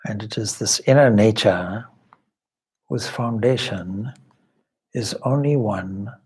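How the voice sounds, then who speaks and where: A man leading a guided meditation as a spiritual teacher, speaking in measured, deliberate short phrases with long pauses and formal, contemplative wording. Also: lowish voice, a little mellow, breathy edge. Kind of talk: guided meditation, slow spoken instruction.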